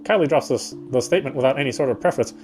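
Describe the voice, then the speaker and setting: A male game streamer moves between casual chat and reading narration aloud. He talks quickly in a mid-range voice, halting and correcting himself, with faint music underneath. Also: mid-range voice, darkish tone.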